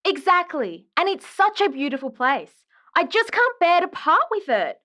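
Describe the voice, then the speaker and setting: A woman's voice: extremely high pitch, very animated, normal speed, sharp tone, exaggerated voice. An actress playing an aggrieved, chatty woman caller in an audio drama phone-in, dramatic and exasperated. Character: exasperated, dramatic woman caller griping about her ghost ex.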